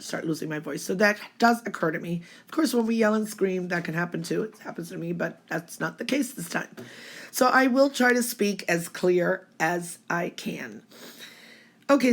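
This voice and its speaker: A woman with laryngitis, giving a chatty, reassuring fortune reading. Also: lowish voice. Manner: chatty, reassuring, keeps saying okay. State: hoarse from laryngitis. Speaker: psychic reader. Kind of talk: tarot-style weekly reading.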